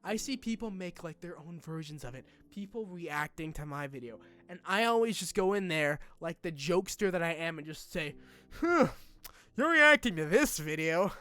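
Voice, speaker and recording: A young man talking casually and unscripted like a YouTuber to followers, candid, self-deprecating and halting, with informal phrasing. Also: a little high, animated, bright, forceful tone, clean tone. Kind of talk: casual unscripted vlog talk.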